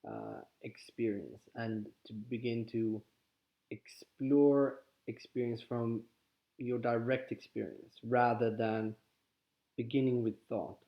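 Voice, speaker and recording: Calm, halting man with a Swedish accent. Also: medium pitch. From Sweden. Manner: calm, halting, with frequent restarts. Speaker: spiritual teacher. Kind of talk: guided contemplative teaching. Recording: slightly dull lo-fi audio.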